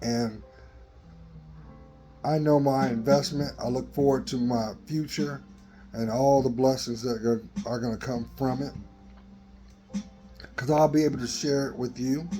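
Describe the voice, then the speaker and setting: A man in his fifties with a dry voice, giving a slow, earnest motivational talk into a phone in a garage. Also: mid-range voice, fairly even intonation, dark tone, faint music behind. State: dry voice, coughing. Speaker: vlogger. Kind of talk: motivational selfie vlog. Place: open garage, daytime. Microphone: phone at arm's length.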